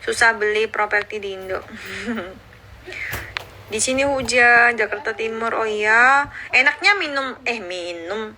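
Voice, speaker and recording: A woman in her thirties who grew up in Indonesia, chatting casually on a phone livestream and answering viewer comments, cheerful and quick to laugh. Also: fairly high pitch, sharp tone, a little hiss or hum. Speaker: livestreaming YouTuber. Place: outdoors or at home in Seoul.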